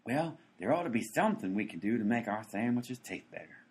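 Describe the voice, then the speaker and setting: An actor playing a gruff, bossy sandwich-shop owner in a comedic radio play. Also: male voice, mid-range voice, lively intonation, brisk, a little mellow, lightly acted. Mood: grumpy.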